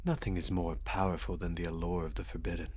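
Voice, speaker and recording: A man's voice: sits low, flat delivery, fast talker, breathy edge. A man playing a seductive, sadistic vampire lord in an intimate ASMR roleplay, suave and formally polite with a teasing menace. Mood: teasing, sinister. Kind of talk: ASMR roleplay, slow intimate dramatic delivery.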